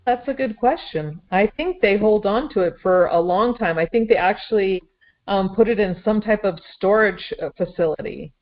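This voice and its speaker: A woman with a mid-pitched voice chats casually in a group discussion. The audio is slightly muffled, as on a lo-fi conference call. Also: moderate pace. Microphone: phone conference call.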